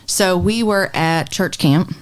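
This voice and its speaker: Woman, deep low voice, reflective, halting with fillers, telling a personal story. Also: poor audio. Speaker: podcast co-host and mother. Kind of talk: casual chat, storytelling.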